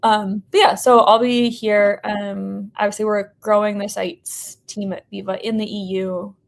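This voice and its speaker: An American woman talks casually as a podcast guest. Her voice sits in a middle register with a noticeable creak. Her phrasing is informal and loose, hedged with "like" and "sort of". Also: medium pitch, flat delivery, measured pace. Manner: informal, hedging, frequent "like" and "sort of". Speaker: podcast guest, company representative. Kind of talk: casual interview conversation.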